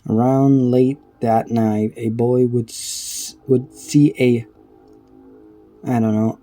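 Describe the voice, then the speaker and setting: A man giving casual reaction commentary, reading aloud haltingly and stumbling over words between offhand remarks. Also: mid-range voice, dark tone. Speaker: reaction video creator.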